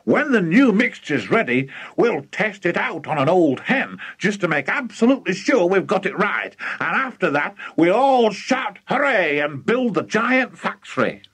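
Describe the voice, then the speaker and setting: Male reader as overbearing storybook father, excitable, emphatic, husky, high and swooping. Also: unhurried, hardly any pauses, no echo, heavily acted. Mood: bossy, excited. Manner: emphatic, gushing, repetitive. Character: wildly excitable, scheming father, impatient and overbearing.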